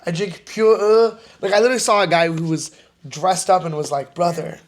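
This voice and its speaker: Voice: mid-range voice, fairly quick. A young co-host in a casual podcast chat, joking and blunt, with swearing.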